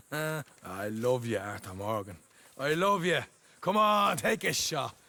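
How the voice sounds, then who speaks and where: A man's voice: mid-range voice, expressive, slow pace, sharp tone, exaggerated voice. A man playing a boastful, hot-headed young outlaw with an Irish accent, needling and theatrical, in a video game. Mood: cocky. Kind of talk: animated video game acting.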